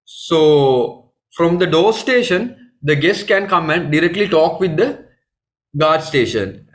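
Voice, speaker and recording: A man with a Sri Lankan accent leads a technical webinar. His voice sits a little high for a man. He explains things plainly, with halting phrasing and frequent repetition. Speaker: technical trainer. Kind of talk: live webinar training presentation.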